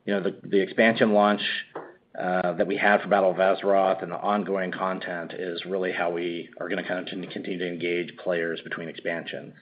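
A man's voice: low voice, level tone, fairly quick, a little hiss or hum. A man answering as a company executive on an earnings call in a conversational way, often padding with "you know". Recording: slightly dull conference call audio.

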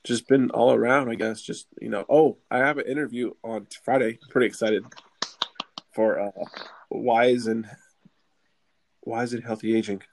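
A man's voice: medium pitch, steady tone, moderate pace, vocal fry, pauses often, low-quality recording. A young man co-hosting a casual student chat, laid-back and joking, chuckling often. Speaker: podcast co-host.

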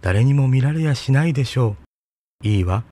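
A man's voice: mid-range voice, lively intonation, normal speed, mellow, no echo, close to an everyday voice. A man voicing a pure-hearted young girl in a fairy tale. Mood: gentle.

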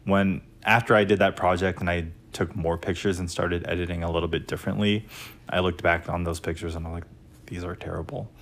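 A man's voice: low-pitched, level tone, a little fast, fairly bright tone, slight background noise. A man in his thirties chatting casually on a podcast, laid-back and full of fillers. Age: 30s. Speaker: podcast co-host. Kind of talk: casual chat.

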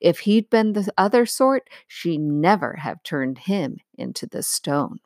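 A woman's voice: fairly low pitch, very animated, unhurried, dry recording, studio quality. A woman voicing a small, courteous male fawn who explains things in a chatty, thoughtful way in a storybook. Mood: chatty, knowing. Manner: conversational, gently explanatory.

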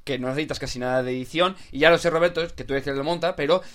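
A man's voice: a little high, racing, a bit bright. A man with a Spanish accent co-hosting a podcast in casual, joking chat. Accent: Spanish from Spain. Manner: swearing, laughing banter. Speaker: podcast co-host.

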